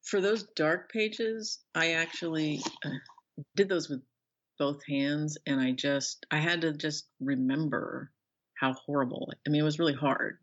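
An older woman speaking as an interview guest, thoughtful and conversational, pausing as she searches for words. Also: low voice. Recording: slightly dull, band-limited audio.

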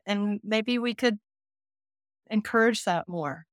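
Older lady counselor talking softly, thinks out loud, mid pitch, dry close mic. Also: takes it slowly, no room sound. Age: 60s. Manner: gentle, thoughtful, searching for words. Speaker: geriatric care manager and counselor, guest. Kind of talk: reflective interview conversation.

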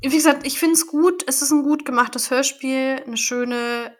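A woman with a fairly high voice talks in a relaxed podcast chat. She reviews an audio drama, thinks out loud and sometimes trails off mid-thought. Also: unhurried. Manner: chatty, thinks aloud, trails off at times. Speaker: podcast co-host.

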